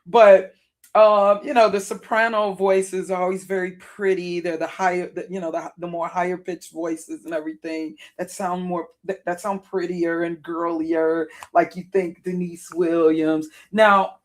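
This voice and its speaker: Raspy deep-voiced woman in her fifties. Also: level tone, a little slow. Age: 50s. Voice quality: deep contralto voice; worn, raspy voice. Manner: rambling and digressive, with frequent self-corrections. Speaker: vlogger. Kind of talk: casual personal storytelling to camera.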